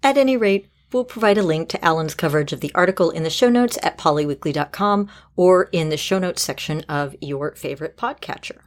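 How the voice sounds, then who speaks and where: A woman's voice: deep voice, animated, fairly quick, few pauses. A woman podcast host talking conversationally in a casual co-hosting style.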